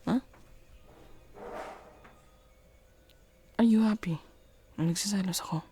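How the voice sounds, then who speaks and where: A woman's voice: mid-range voice, warm tone, slight background noise, lightly acted. A woman with a Filipino accent playing a jealous, domineering girlfriend in an intimate ASMR roleplay, questioning and commanding in mixed Tagalog and English. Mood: jealous, confronting. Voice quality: husky, breathy. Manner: slow deliberate questioning, commanding, switching between English and Tagalog. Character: jealous, domineering girlfriend scolding her partner.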